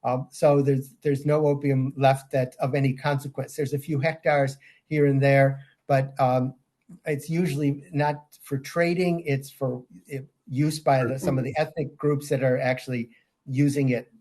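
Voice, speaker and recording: An older man answering interview questions in a measured way, with frequent ums and restarts. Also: medium pitch, little pitch movement, darkish tone. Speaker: guest, safeguards auditor.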